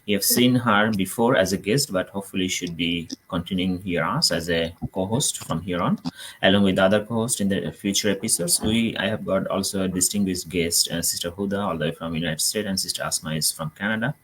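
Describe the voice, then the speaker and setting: A man's voice: deep voice, a little fast, a bit bright, breathy edge, poor audio. A man with a Bangladeshi accent, hosting a live online talk and explaining things to his audience. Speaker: host of an online business show.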